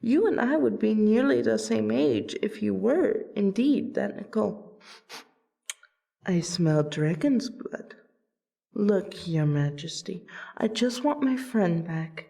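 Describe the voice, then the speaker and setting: Woman voicing a slow, mellow royal vampire lady. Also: mid-range voice, creaky. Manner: polite, theatrical, deliberate. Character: courteous royal female vampire, loyal friend. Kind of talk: scripted fantasy audio roleplay.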